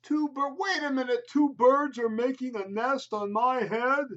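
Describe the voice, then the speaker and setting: A man's voice: very high-pitched, unhurried, a bit bright, voice pushed up. A man voicing an anxious, fretful grown-up male elephant in a storybook read-aloud, worried and pleading. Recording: slightly muffled home video audio.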